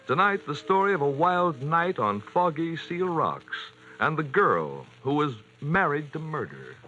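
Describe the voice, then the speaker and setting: A man announcing and pitching for a sponsor in a polished, emphatic 1940s radio style. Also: very animated, measured pace, music in the background. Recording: dull vintage radio recording.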